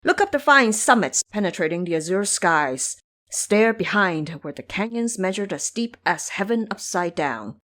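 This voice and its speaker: A woman narrating a scripted audio drama in a theatrical, deliberate storytelling manner. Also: mid-range voice, lively intonation, fairly bright tone.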